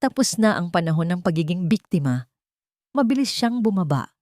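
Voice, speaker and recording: A woman's voice: fairly low pitch, big pitch swings, moderate pace, a little mellow, dry recording, clean studio sound. A Filipino woman narrating a scripted romantic drama as a storyteller.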